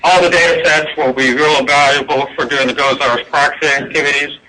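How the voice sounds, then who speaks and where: Bright-voiced man giving a technical talk. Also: a little high, fairly even intonation, fairly quick, poor audio. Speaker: conference presenter. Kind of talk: technical scientific presentation, unscripted. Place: indoor presentation room with projected slides.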